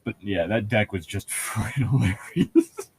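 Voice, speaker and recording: Male podcast co-host, husky and animated, for loose banter and amateur novel reading. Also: on the low side, measured pace. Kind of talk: casual chat and amateur book reading.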